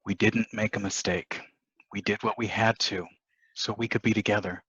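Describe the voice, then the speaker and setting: An actor playing a scheming, defensive husband in a dramatic stage play reading, dismissive and insistent, on a muffled microphone. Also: male voice, lowish voice, fairly even intonation, moderate pace, fairly bright tone, slightly rough, no echo. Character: scheming, defensive husband poisoning his wife.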